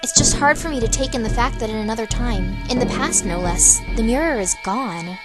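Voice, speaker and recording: Woman acting out a young fantasy heroine, highish voice, teasing, quick-tongued, casual banter, amateur radio play, loud music behind. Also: lightly acted. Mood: wistful, stunned.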